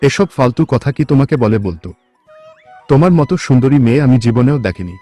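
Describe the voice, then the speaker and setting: A man playing a simple, devoted young village farmer in a cartoon, earnest and gentle. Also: medium pitch, animated, fast, natural voice. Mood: affectionate. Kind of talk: cartoon voice acting. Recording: music behind the voice.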